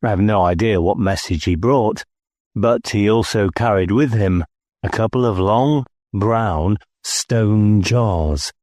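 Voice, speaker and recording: A man's voice: fairly low pitch, slow pace, darkish tone, dry recording, studio quality. A man reading scripted first-person story narration as an audiobook narrator.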